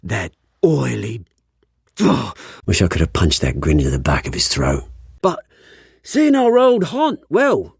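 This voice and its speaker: British revolutionary gangster, husky, very animated, charming yet menacing, fallen rich boy, dramatic game acting. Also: on the low side, unhurried, dry recording, studio quality, exaggerated voice. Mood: bitter. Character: bitter, menacing British crime-lord revolutionary, faded rich heir.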